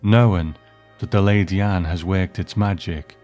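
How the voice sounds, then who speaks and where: A man's voice: low-pitched, fairly even intonation, measured pace, darkish tone. A man hosting and narrating from a script, reviewing and reading a story aloud. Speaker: host and narrator. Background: music.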